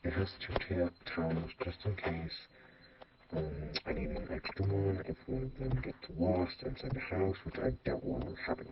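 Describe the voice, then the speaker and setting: A man's voice: low-pitched, lively intonation, normal speed. A man speaking through a robot voice changer, giving a casual, offhand tour of a video game house. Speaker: video host. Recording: muffled, lo-fi, loud noise and clicks.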